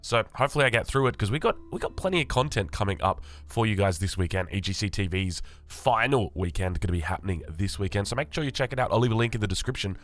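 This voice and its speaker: A young Australian man with a raspy voice, sick and just woken up, chatting casually as he reads through game patch notes. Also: fairly low pitch, lively intonation, quick pace, few pauses, fairly bright tone. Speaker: gaming channel host.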